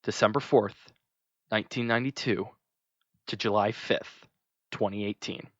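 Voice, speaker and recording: A man reading a scripted memorial narration in a measured, gentle way. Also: lowish voice, fairly even intonation, dry recording. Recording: dull, lo-fi dry recording.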